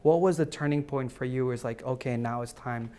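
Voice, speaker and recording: A man's voice: medium pitch, brisk, clear, resonant tone. A man hosting an interview, asking rambling questions and laughing often. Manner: giggly, excitable, stumbling questions. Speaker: interview host. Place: conference venue.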